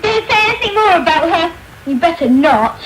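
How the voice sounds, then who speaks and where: A young performer playing a small, sad, well-spoken orphan boy, meek yet quietly defiant in a radio play. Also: very high voice, lively intonation, brisk. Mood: hurt, defiant. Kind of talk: British radio drama acting. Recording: noisy, crackly medium-wave radio tape.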